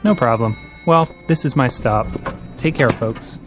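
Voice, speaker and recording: A man plays a young businessman in a radio drama. He sounds casual and helpful, with a medium-pitched voice and faint music behind. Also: a little slow, close to an everyday voice. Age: young adult.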